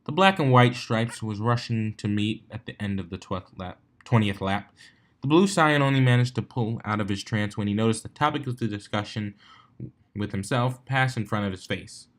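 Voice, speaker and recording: A man's voice: fairly low pitch, moderate pace. A man narrating a written story as a fan fiction reader, now and then stumbling and rereading a phrase. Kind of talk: scripted story narration with character dialogue.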